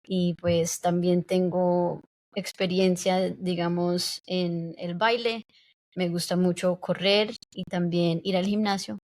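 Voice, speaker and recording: A woman's voice: lowish voice, little pitch movement, slow, a little mellow. Reflective young woman, hesitant speech. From United States. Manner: reflective, hesitant with many fillers. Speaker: podcast guest. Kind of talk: casual interview talk.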